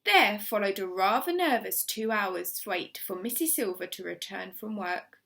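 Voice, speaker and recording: A woman teacher reading a children's story aloud, expressively, with measured and emphatic dramatic phrasing. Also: medium pitch, expressive, creaky, bright, forceful tone.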